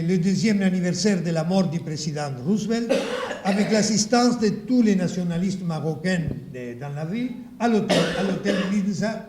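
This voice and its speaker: Man in an archival clip, raised pitch, roomy and dark, trailing off. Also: slight background noise. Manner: trailing off, repeating words.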